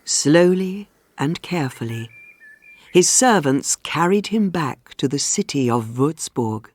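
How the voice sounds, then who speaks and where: A woman reading a scripted storybook for English learners, with measured, clearly phrased storytelling. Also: sits low, animated, darkish tone. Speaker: audiobook narrator.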